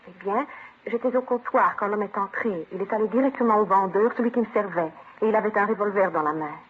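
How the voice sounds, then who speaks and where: Actress as a fast-talking French war bride. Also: female voice, mid-range voice, lightly acted. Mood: anxious, eager. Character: frightened young French war bride recounting a holdup. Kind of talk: old-time radio drama acting. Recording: muffled vintage radio with clear hiss.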